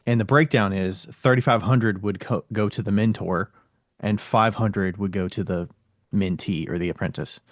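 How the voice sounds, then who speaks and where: A man's voice: on the low side, normal speed, no room sound, studio quality. A man hosting a podcast, asking questions in a casual, conversational way. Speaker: podcast host interviewing a guest.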